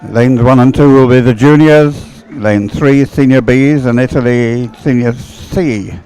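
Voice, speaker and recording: A man calls a race live in a casual style. His voice is clear and smooth, sitting at a medium pitch, with faint music behind him. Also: fairly even intonation, a little slow, dark tone, rough recording. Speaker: sports commentator.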